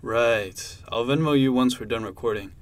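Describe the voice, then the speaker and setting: A man's voice: mid-range voice, moderate pace. A man reading a scripted explainer about language learning as a co-host.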